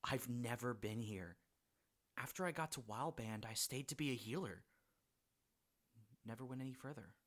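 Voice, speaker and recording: A man's voice: medium pitch, moderate pace, long pauses, fairly bright tone, natural voice. A man playing a polite, curious young healer in a fantasy audiobook drama, speaking in a slightly formal way. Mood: modest. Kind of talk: audiobook drama character acting.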